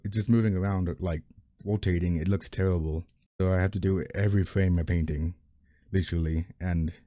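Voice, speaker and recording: Deep, dark-voiced man, casual and blunt. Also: dry recording, studio quality. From family from Mexico. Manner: self-deprecating, blunt, swears casually. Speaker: animator. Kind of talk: casual chat.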